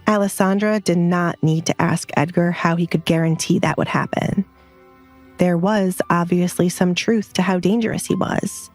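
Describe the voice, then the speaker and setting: A woman's voice: fairly low pitch, fairly even intonation, moderate pace, faint music behind. A woman reading scripted, dramatic story narration as an audiobook narrator.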